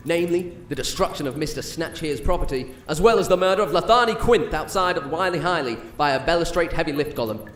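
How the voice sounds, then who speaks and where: A man's voice: on the high side, expressive, a bit bright. A man playing a polite, formal detective who words things officially in a comedic fantasy audio drama. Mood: businesslike. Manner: formal, measured wording. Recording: roomy echo, faint background noise.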